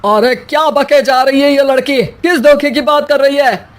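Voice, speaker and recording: A woman's voice: high voice, steady tone, normal speed, lightly acted. A woman playing a scolding, complaining Indian village mother-in-law in a cartoon story, with a nagging, exclamatory delivery. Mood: accusing. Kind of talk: Hindi animated moral story acting.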